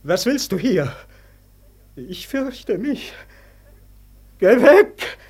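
Einen gequälten Grübler, auffallend hohe Stimme. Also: male voice, high-pitched, sing-song, extremely slow, darkish tone, breathy edge, exaggerated voice. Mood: terrified. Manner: theatrical, impassioned, plaintive declamation. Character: anguished, brooding man confronted by Death, full of regret. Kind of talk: classic German radio drama, declaimed verse.

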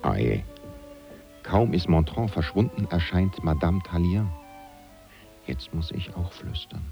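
Sly servant narrator, deep voice, lively, confiding, muffled radio drama. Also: male voice, slow pace, creaky, faint music behind, exaggerated voice. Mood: sly, hushed. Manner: wry, confiding, hushed at times. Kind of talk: German radio play narration in character.

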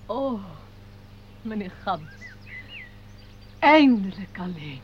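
A woman's voice: mid-range voice, very animated, very slow. A woman playing a melodramatic director's wife in a theatrical Dutch radio play style. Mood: hushed, relieved. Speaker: actor. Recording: dull, noisy old radio recording.

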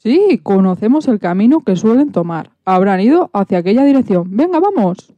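A woman's voice: mid-range voice, sing-song, moderate pace, no room sound, dull audio, natural voice. A woman playing a wary but helpful zebra in a children's radio play, speaking simply and earnestly. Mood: urgent.

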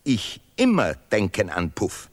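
Pompous, slow, wildly swooping bigot laureate. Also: male voice, lowish voice, huge pitch swings, full character voice. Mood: sanctimonious. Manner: pompous, declamatory, deliberately broken grammar. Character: pompous bigoted male laureate, sanctimonious and vehement. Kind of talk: stylised absurdist radio play declamation.

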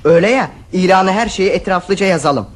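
A man's voice: high-pitched, a little fast. An eager, clumsy boy. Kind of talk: radio play acting.

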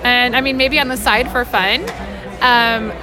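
Female interview guest, fairly high voice, chatty personal storytelling that voices quoted exchanges, music and crowd behind. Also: fairly even intonation, unhurried. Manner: chatty, acts out quoted dialogue. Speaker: interview guest, editor in training. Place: busy public convention space. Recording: busy public space with loud music, chatter.

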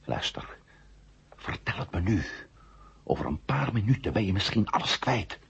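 Gravelly, deep, swooping space hero. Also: male voice, big pitch swings, in a whisper, exaggerated voice. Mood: hushed, soothing. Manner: firm, reassuring, deliberate. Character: steady, commanding male space hero, calming a shaken comrade. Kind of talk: 1970 Dutch science-fiction radio drama acting. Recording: muffled 1970 radio drama recording.

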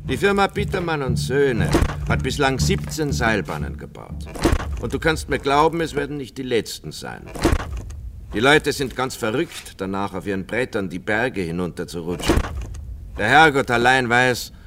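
A brisk company boss in a radio drama, chatty and matter-of-fact. His medium-pitched voice swings in a lively way. There is clear vehicle noise and clicking around him.

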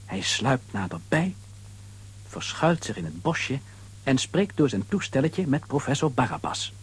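A man's voice: medium pitch, big pitch swings, unhurried, husky, low-quality recording. A man narrating a children's audio story in a scripted, dramatic storytelling style.

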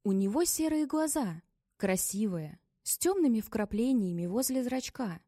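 A woman narrating a story in an even, measured reading voice. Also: fairly high pitch, dry recording, studio quality. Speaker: audiobook narrator. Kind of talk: scripted audiobook narration.

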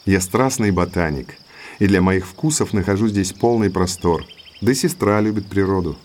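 An actor playing a genial, bookish naturalist in an audio drama, courteous, talkative and polished. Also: male voice, sits low, normal speed, darkish tone, slight background noise, lightly acted. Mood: sociable, courteous. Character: genial bookish male naturalist neighbour, eagerly sociable.

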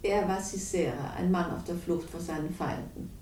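A woman's voice: normal speed, darkish tone, slight background noise, lightly acted. An actress playing a cold, manipulative woman in a radio crime drama, speaking with smug irony and cool amusement. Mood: solemn, reciting. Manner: smug, ironic, coolly amused. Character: cold, manipulative, mocking woman confessing her schemes. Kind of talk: 1960s German radio crime drama acting. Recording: roomy, distant, faintly rumbling radio recording.

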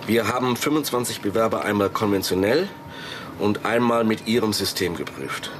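A man's voice: lowish voice, normal speed, noisy background, natural voice. A man playing a businesslike, precise company manager in a radio drama. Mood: pleased, businesslike. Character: businesslike company man presenting software test results.

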